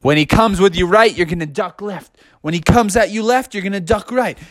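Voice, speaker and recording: A man acts out a loyal coach and buddy from a storybook boxing tale. He gives slangy, encouraging instructions with lively pitch movement, recorded close and dry. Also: unhurried, dry recording. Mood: urgent coaching. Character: laid-back frog's boxing coach, a strategizing loyal buddy.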